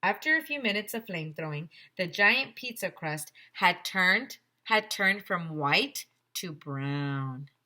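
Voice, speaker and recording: A woman teacher reading a children's story aloud in an expressive, simple storytelling manner. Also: fairly low pitch, takes it slowly, hardly any pauses.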